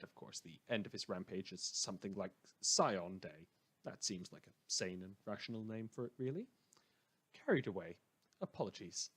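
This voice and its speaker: Guy doing a self-important oddball radio news host, lowish voice, theatrical and deadpan, rambles on, cheerfully morbid. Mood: breezily deadpan. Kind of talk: scripted comedic radio news monologue.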